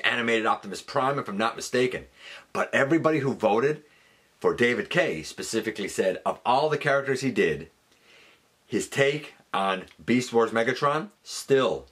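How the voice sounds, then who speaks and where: Man, husky voice, lively swinging pitch, countdown host, enthusiastic, wordy, theatrical emphasis, conversational asides. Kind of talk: enthusiastic countdown commentary, semi-scripted.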